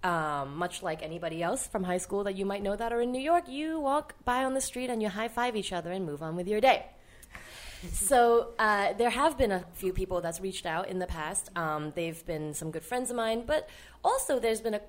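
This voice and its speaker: A woman's voice: medium pitch, moderate pace. A young adult woman from Hong Kong, a guest artist chatting animatedly on a podcast. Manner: animated storyteller who laughs and acts out quoted people. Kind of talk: casual podcast interview.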